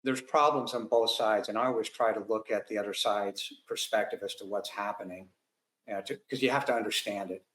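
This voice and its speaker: A man's voice: on the low side, fairly quick, fairly bright tone, echoey room. A man speaking as a guest, blunt and combative in his commentary. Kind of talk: talk-show commentary.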